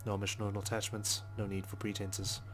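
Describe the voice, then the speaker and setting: A man reading as a brooding police detective who narrates a visual novel in a measured, solemn way. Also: lowish voice, completely flat delivery, fairly bright tone, lightly acted. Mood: somber. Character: brooding, guilt-ridden male police detective narrating inwardly. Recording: music behind the voice.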